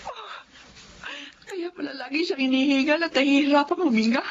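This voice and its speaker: A woman's voice: high voice, moderate pace, lo-fi sound, close to an everyday voice. A woman playing an anxious, devoted wife and mother in an emotional Filipino radio drama. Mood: worried, pleading. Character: Feli, anxious devoted wife and mother telling her life story.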